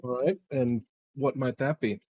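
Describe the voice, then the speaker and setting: A man's voice: mid-range voice, normal speed, slightly rough, dry recording, lo-fi sound. A man playing a tabletop game, chatting casually and thinking aloud a little hesitantly.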